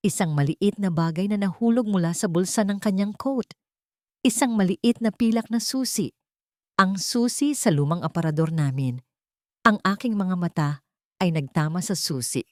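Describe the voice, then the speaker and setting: A woman's voice: medium pitch, normal speed, a little mellow, no room sound, studio quality. A woman reading a scripted first-person romance story as its narrator.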